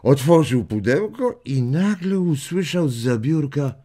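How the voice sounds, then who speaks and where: A man playing an amused old grandfather telling stories to children in an audio drama, unhurried, chuckling and expressive. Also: fairly high pitch. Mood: amused storytelling. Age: older. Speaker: storyteller.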